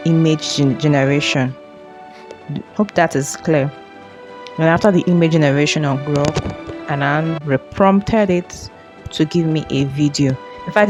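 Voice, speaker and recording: An informal tutorial host with a mid-range voice. They explain step by step and often repeat or reword a phrase. Music plays behind the talk. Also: mid-range voice.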